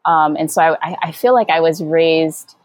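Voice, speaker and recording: Low-voiced, thoughtful woman from Hawaii. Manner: thoughtful, halting, searching for words. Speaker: guest, Hawaiian educator and activist. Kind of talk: reflective interview conversation.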